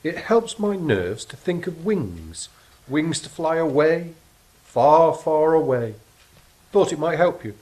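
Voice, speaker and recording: Man as earnest angel with higher-pitched voice. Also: big pitch swings, slow, dark tone, poor audio. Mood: dreamy. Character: Legna, a dreamy, earnest, soothing angel helper. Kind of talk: stage-style audio drama acting.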